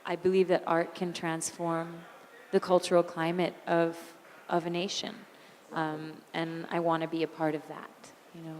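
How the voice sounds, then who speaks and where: A woman in her thirties with a raspy voice, speaking candidly as an interview guest and telling animated stories about her life. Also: fairly low pitch, moderate pace. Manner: candid, animated storyteller who laughs easily. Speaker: interview guest, singer and actor. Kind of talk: onstage conversation. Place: event hall. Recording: long hall reverb.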